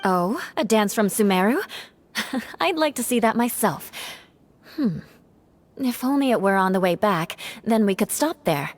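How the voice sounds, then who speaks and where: A woman voicing a proud, composed young woman knight in a video game, speaking calmly and dryly. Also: mid-range voice, measured pace.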